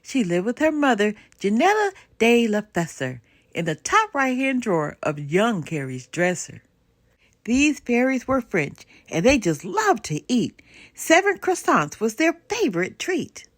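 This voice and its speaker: An older woman reading a children's storybook aloud in an expressive, sing-song way. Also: on the high side, dry recording. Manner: animated, unhurried sing-song rhyming delivery. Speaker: storybook reader.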